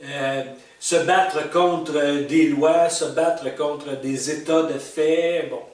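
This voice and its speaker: A man in his sixties with a Québécois French accent, thinking back over the stages of his life in a halting, casual way, full of fillers, restarts and small chuckles. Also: a little high, fairly even intonation, a little mellow, distant mic. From Quebec. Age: 60s. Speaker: retired vlogger reflecting on life stages. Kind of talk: casual spoken reflection. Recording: echoey room, speaker well back from the mic, faint hiss.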